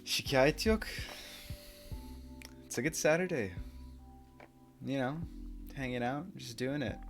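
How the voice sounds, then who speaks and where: Brief: young male streamer, easygoing and chatty, mid-pitched, quiet music underneath. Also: slow pace, pauses often. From United States. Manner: laid-back, chatty, laughs often, switches into Turkish. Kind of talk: casual chat with chat readers.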